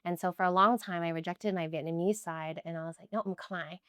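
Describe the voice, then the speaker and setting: Young woman, smooth low-pitched voice. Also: steady tone, fairly quick, a bit bright, no room sound, clean studio sound. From United States. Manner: chatty, many "like" fillers, earnest. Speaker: guest. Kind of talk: casual conversational interview.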